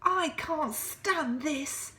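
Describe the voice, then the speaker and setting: A woman voicing a tired, exasperated male father bear who wails his complaints slowly in a high, strained voice. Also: bright, forceful tone, roomy sound, heavily acted. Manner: slow, wailing complaint, rising high.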